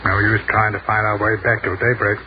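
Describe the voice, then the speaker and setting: A man acting a thoughtful, educated army captain in a 1940s radio drama, speaking in measured, articulate phrases. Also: lowish voice, flat delivery, lightly acted. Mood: calm, explanatory. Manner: measured, articulate, eloquent speech. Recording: noisy muffled 1940s radio recording.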